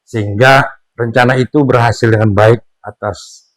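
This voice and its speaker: A man in his sixties with an Indonesian accent, an interviewed father speaking earnestly about his faith and family, pausing with fillers and rising on emphatic points. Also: mid-range voice, fairly even intonation, no room sound. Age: 60s. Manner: earnest, preachy, pauses with fillers, rises emphatically on key points. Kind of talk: casual family conversation.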